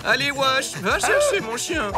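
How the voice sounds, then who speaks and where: A woman voicing an excitable, easily flustered pet owner in an expressive cartoon dub. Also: high voice, measured pace, loud music behind the voice, exaggerated voice. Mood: exasperated. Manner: exclamatory, expressive.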